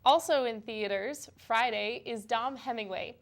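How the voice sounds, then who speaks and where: A woman's voice: on the high side, unhurried. A woman co-anchoring a news segment, switching between scripted reading and casual chat.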